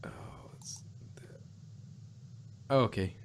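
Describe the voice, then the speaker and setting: A man's voice: slow pace, in a whisper. A man co-hosting a podcast, casually reviewing an audio drama with a rambling, laugh-filled way of talking. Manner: rambling, laughs often, restarts and hedges mid-thought.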